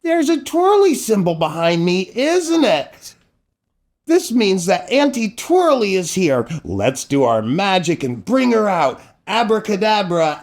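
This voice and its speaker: A man hosting a children's storytime show in a playful, exaggerated, silly way. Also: high-pitched. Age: middle-aged. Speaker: children's storytime host and entertainer.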